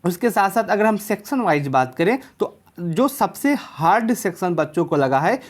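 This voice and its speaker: A woman's voice: lowish voice, expressive, normal speed. A woman speaks as a coaching presenter. She addresses students informally, explaining step by step and going back over key points to stress them.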